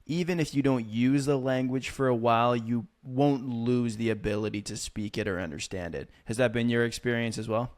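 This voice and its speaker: A man's voice: medium pitch, moderate pace, few pauses. A man hosting an interview, asking curious, friendly questions in loose phrasing with fillers. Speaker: interviewer, show host.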